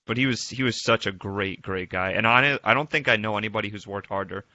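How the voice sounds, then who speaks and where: A man reminiscing on a casual group call, thoughtful and halting, with informal phrasing. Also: on the low side, pushed and bright, no echo. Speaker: participant in a memorial group call. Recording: dull, dry lo-fi call audio.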